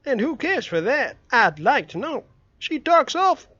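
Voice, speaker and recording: A man's voice: extremely high pitch, sing-song, unhurried, pushed and bright, no echo, voice pushed up, exaggerated voice. A man voicing a scheming young boy who eagerly coaxes and bargains, in a dull, dry recording. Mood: coaxing.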